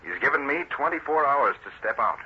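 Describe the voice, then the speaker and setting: An actor playing an earnest, troubled politician in an old-time radio drama, speaking formally. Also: male voice, mid-range voice, lively intonation, fairly quick, lightly acted. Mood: earnest, worried. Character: earnest, troubled male politician under accusation. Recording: muffled, noisy vintage radio recording.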